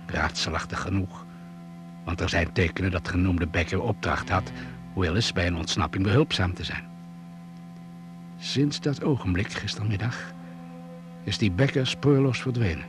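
An actor playing a composed doctor who leads crash survivors, giving a formal, precise account in a radio drama. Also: male voice, low voice, unhurried, pauses often, over music. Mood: measured, concerned.

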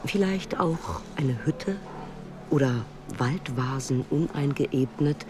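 A man performing a measured, observant first-person literary narration in a radio play. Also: medium pitch, music in the background, close to an everyday voice. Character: man recounting an odd night and morning, observant.

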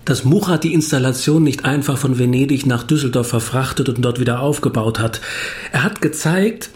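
An actor playing a thoughtful, searching writer, pensive and hesitant, in radio drama dialogue. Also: male voice, mid-range voice, brisk, darkish tone, lightly acted. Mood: pensive recounting. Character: thoughtful, searching male writer telling his story.

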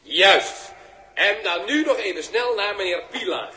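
A polite, brisk male master of ceremonies in 1960s radio drama. His high voice rings in a roomy hall over faint noise. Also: expressive, sharp tone, heavily acted. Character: brisk, polite male host of a live broadcast.

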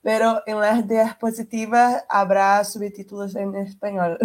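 A woman from Brazil presenting her network on a video call. She speaks slowly and carefully so that others can follow. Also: medium pitch, a little mellow. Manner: deliberately slow and careful. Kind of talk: presenting in a virtual meeting.

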